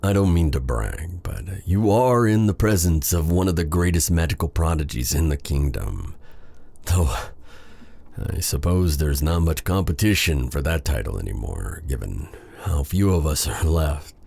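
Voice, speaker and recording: A man playing a proud but tender dark sorcerer in an intimate ASMR roleplay drama, speaking with halting, theatrical courtliness in a breathy, gravelly voice. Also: extremely low pitch, lively intonation, full character voice. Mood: earnest, encouraging.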